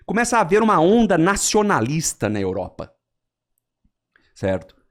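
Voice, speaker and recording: A Brazilian man on a podcast, explaining history in a lively storytelling way and acting out quotes. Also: moderate pace. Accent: Brazilian Portuguese. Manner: lively storyteller, animated swings in pitch, acts out quotes.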